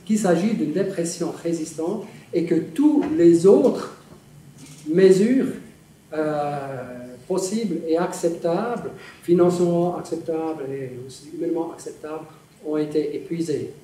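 A man's voice: a little high, unhurried, mellow. Middle-aged man lecturing in an echoey auditorium. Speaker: professor lecturer. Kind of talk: academic talk answering audience questions. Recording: echoey hall, distant, some noise.

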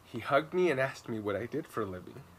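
A man in his thirties reads a children's book aloud at a middle pitch. He tells it expressively and leans on key words for drama. It is recorded outdoors in a garden, with birds and ambient noise behind him. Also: lively intonation, low-quality recording. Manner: dramatic, with slow emphatic words and repeats. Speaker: children's storytime reader and host. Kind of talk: expressive read-aloud storytelling.

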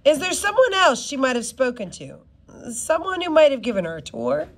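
A woman's voice: high voice, big pitch swings, normal speed, pitched above the performer's own voice. A woman voicing a male spy who poses as a composed, polite, businesslike police detective in a storybook.

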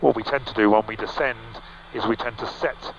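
A man's voice: mid-range voice, a little fast, vocal fry. Man explaining a cockpit over a headset mic. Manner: relaxed, explanatory, with frequent fillers and restarts. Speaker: pilot and vlog host. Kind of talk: casual cockpit walkthrough. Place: small aircraft cockpit in flight. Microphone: headset boom mic. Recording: slightly dull, with faint engine hum.

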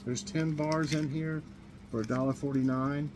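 A man's voice: fairly high pitch, fairly even intonation, normal speed, background noise. A man in his fifties chatting casually to camera in a small kitchen, rambling with many ums and restarts as he shows off grocery buys. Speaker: vlogger showing a grocery haul.

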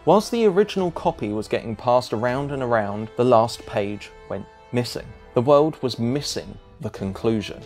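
Gravelly-edged man narrating a video essay. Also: mid-range voice, animated, moderate pace, hardly any pauses, darkish tone, faint music behind. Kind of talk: scripted narration.

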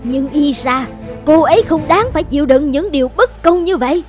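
A woman's voice: high-pitched, normal speed, darkish tone, faint music behind, close to an everyday voice. A woman voicing an indignant, protective village woman in a cartoon melodrama, emphatic and reproachful.

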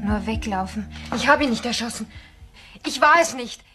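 An actress as a high-voiced, wounded young woman. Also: normal speed, lightly acted. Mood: desperate. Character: beaten, wronged young woman, bitter and fragile. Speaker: actor. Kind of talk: German crime radio play acting. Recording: music under the voice.

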